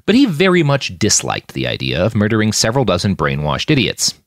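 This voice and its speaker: Male narrator for fiction podcast. Lowish voice, hugely varied intonation, dry close sound. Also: dry recording. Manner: expressive, acting out dialogue. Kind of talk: dramatic audiobook-style narration of military science fiction.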